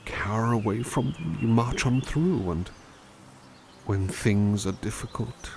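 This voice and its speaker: A man playing a gentle, kindly butler who softly reads poems aloud in an ASMR roleplay and muses thoughtfully on each one. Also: mid-range voice, lively intonation, measured pace, vocal fry, a little hiss or hum. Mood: musing. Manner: soft, musing, thoughtful asides between poems. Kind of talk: ASMR roleplay poetry reading.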